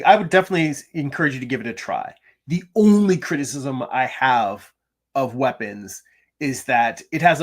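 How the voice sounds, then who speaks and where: Gravelly-voiced chatty middle-aged man. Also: mid-range voice. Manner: chatty, self-deprecating, frequent restarts and ums. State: gravelly from lack of sleep. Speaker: artist hosting a livestream. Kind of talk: casual livestream chat reading viewer comments. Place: home room.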